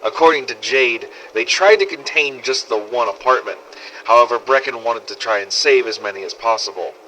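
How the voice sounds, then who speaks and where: An actor playing a foul-mouthed, cynical zombie-apocalypse survivor, narrating a wry audio diary through an old cassette recorder filter. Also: male voice, mid-range voice, moderate pace, sharp tone, poor audio. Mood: grim, subdued. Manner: sweary, sardonic, world-weary storytelling. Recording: old cassette tape with faint noise.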